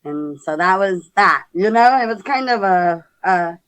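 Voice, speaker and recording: A young woman from San Diego with a deep, raspy voice, talking candidly as a podcast guest and laughing often. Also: lively intonation, a little slow, fairly bright tone, poor audio. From San Diego, California. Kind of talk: casual interview chat.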